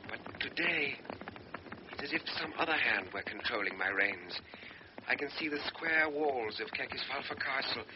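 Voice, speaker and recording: A man's voice: medium pitch, close to an everyday voice. A man playing a brooding young lieutenant. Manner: inward, reflective monologue. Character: young cavalry lieutenant, guilty and reflective. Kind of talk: radio drama inner monologue. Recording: lo-fi radio with noisy background.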